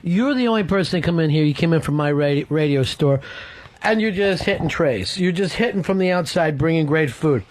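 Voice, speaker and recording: American guy hosting talk radio, kinda high voice, rambling teasing banter. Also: brisk. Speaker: radio show host. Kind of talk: casual radio chat.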